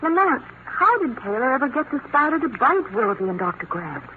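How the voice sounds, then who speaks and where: An actress playing a spirited, earnest young heroine in a dramatic old-time radio thriller. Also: female voice, high voice, big pitch swings, fairly quick. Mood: relieved, wry. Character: spirited, indignant young female companion of crime-fighter. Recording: tinny, noisy vintage radio recording.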